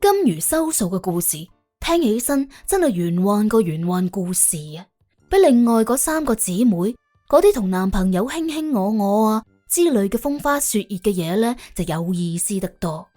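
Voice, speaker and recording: A woman narrating an audiobook in colloquial Cantonese, telling the story in an expressive, wry way. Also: mid-range voice, normal speed. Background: faint background music.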